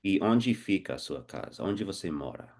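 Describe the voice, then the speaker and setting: A man acting as host and teacher, asking slow, simple questions in a casual oral interview. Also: sits low, animated, darkish tone.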